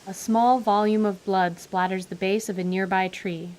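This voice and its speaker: A woman's voice: mid-range voice, steady tone, moderate pace, darkish tone, low-quality recording. A woman giving dramatic, scripted narration for a fantasy radio play. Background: music.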